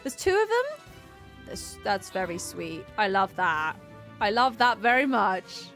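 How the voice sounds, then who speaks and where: A woman giving casual, chatty livestream commentary on a video game, playful and given to sing-song exclamations. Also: a little high. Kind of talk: casual gaming stream commentary. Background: faint music.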